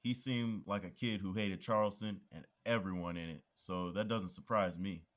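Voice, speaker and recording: A man's voice: low-pitched, moderate pace, highs cut off, close to an everyday voice. An actor playing a laid-back young male police officer who teases his best friend in casual talk. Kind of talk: audio drama acting.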